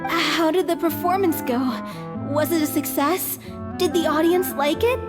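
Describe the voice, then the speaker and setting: An actress voicing a frail young performer who talks softly and wearily over game music. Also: female voice, very high-pitched, fairly even intonation, unhurried. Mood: weary. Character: sickly young actress, self-deprecating. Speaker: game character. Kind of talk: game voice acting.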